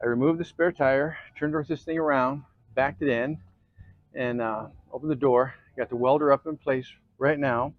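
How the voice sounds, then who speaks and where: An American man giving a casual, folksy how-to, often checking in with a quick okay or all right. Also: medium pitch, fairly even intonation, no echo, phone-quality sound. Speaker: host of an off-road vehicle DIY channel. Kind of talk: casual how-to chat to camera.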